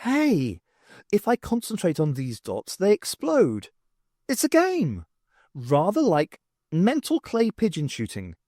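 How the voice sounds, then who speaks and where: A man voicing an excitable, easily flustered male academic in an audiobook, with exclamatory delivery. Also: sits high, slow, dry recording, clean studio sound, pitched above the performer's own voice, exaggerated voice. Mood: excited discovery. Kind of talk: audiobook character voice.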